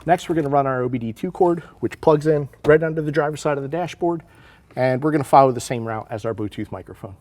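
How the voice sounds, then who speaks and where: A man's voice: medium pitch, quick pace, a little mellow. An American man in his forties giving a casual, plain-spoken step-by-step tutorial as an installation instructor.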